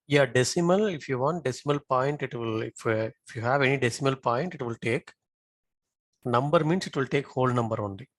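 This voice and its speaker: A man teaching software over a shared screen, explaining step by step in a halting way. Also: medium pitch, no echo, studio quality. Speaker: software trainer.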